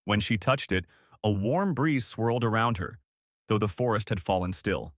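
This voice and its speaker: A male storyteller reads a children's fairy tale from a script. His pitch is on the low side and moves in lively swings. The sound is dry and close, with no room around it. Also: normal speed, no room sound. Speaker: storyteller, narrator.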